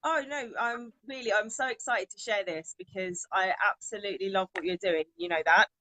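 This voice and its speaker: A bubbly, enthusiastic woman hosts a live interview from her phone. Her voice is mid-pitched and recorded close and dry, with no room sound. She welcomes and praises her guest eagerly. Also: brisk, pushed and bright, no echo, rough recording. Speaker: confidence coach, live-stream host. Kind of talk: live social media interview.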